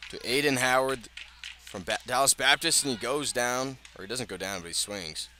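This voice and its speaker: Bright-voiced American baseball announcer, man. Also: medium pitch, normal speed. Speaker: radio play-by-play announcer. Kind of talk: live baseball play-by-play commentary. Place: outdoors at a ballpark. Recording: outdoor ballpark ambience, clear background noise.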